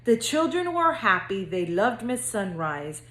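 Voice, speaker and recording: A woman school counselor speaking to young children in a gentle, singsong read-aloud storytelling manner. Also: medium pitch, sing-song, a little slow, echoey room, a little hiss or hum.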